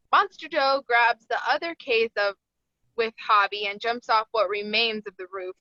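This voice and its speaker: A woman's voice: a little high, vocal fry, pushed and bright, no room sound. A woman narrator reading screenplay stage directions aloud at a table read. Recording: dull, dry lo-fi audio.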